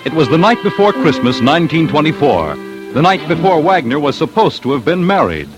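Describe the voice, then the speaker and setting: A man's voice: fairly high pitch, sing-song, brisk, rough recording. A man narrating an old-time radio crime documentary with dramatic, storytelling delivery. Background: music.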